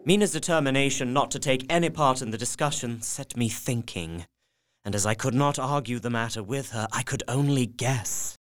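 A man's voice: mid-range voice, normal speed, close to an everyday voice. An actor playing a devoted young husband, narrating his diary in an earnest, subdued manner. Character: young husband Jonathan Harker, anxious, devoted. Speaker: narrator-diarist. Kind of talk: audio drama narration.

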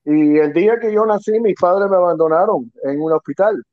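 A man's voice: sits high, moderate pace, no room sound. Middle-aged man, dry lo-fi guest line. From Quebec, Canada, raised in Miami. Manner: rambling, restarts, mixes in English words. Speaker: child rescue investigator, guest. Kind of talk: casual interview talk. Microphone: phone or call line. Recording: dull lo-fi sound.

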